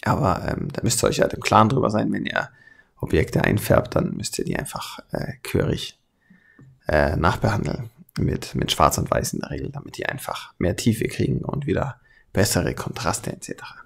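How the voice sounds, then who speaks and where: A man's voice: fairly low pitch, big pitch swings, moderate pace, gravelly, husky. A man with a light southern German, Swabian accent, talking casually and colloquially as he hosts a hands-on tutorial. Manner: colloquial, chatty, chuckles often. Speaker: tutorial host, airbrush artist.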